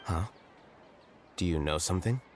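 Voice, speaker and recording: A man playing a cool-headed, analytical young scholar in a video game, measured and matter-of-fact. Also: low voice, faint music behind, natural voice. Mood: questioning.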